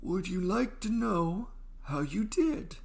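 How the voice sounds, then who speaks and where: A man voicing an old professor with a slow, drawn-out, formal manner in a storybook reading. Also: sits high, animated, creaky, a little mellow, pitched above the performer's own voice, full character voice. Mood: stern, formal.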